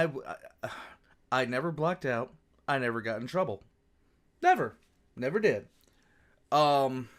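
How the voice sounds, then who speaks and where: Bright young man with dry humor. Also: a little high. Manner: dry, wry interjections. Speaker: host, navy veteran. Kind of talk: casual reaction chat.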